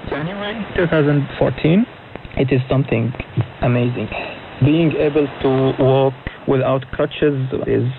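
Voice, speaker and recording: Through clear static and a very thin, narrow shortwave signal comes a young man with a mid-pitched voice. He speaks fluent but non-native English in short phrases, telling his own story as a radio interview guest. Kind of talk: recounting personal experiences in a radio interview. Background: clear radio static and noise.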